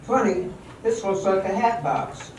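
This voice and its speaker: A man's voice: high-pitched, animated, measured pace, darkish tone. A man playing a bewildered, flustered leading man in an old-time radio drama, heard from a distance in a very echoey room. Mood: puzzled. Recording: very echoey, distant stage mic with faint noise.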